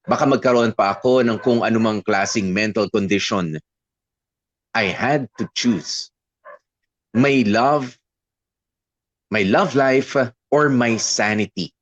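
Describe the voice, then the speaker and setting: A man's voice: lowish voice, slow pace, pauses often. An actor narrating as a young man recounting his breakup in a radio drama, candid and weary. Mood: reflective.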